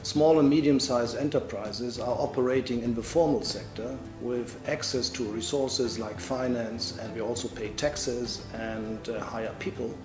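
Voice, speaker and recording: A man explains his view as a business interviewee. His mid-pitched voice rings in an echoey room, with music clearly underneath. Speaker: small business representative.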